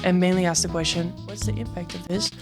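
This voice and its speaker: A woman's voice: lowish voice, fairly even intonation, fast, music in the background. A woman in her twenties presenting a student podcast from a script. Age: 20s.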